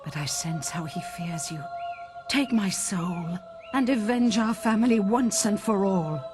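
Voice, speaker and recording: Ghostly mother, solemn and slow, acted by a woman; fantasy game role with music behind. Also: mid-range voice, animated, close to an everyday voice. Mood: solemn, anguished. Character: solemn ghostly mother urging her son to vengeance.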